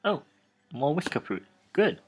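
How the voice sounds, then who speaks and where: Husky let's player with swooping dramatic delivery. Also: mid-range voice, sing-song, measured pace, faint music behind. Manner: theatrical, stumbling delivery when reading aloud. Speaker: let's play host. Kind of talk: game commentary with dramatic read-aloud of game text.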